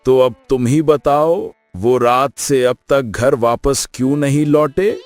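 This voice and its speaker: A man's voice: medium pitch, takes it slowly, darkish tone, faint music behind, natural voice. A man playing a blunt, gloomy forest animal in a cartoon fable, speaking with an ominous manner. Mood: ominous concern. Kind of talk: Hindi cartoon story acting.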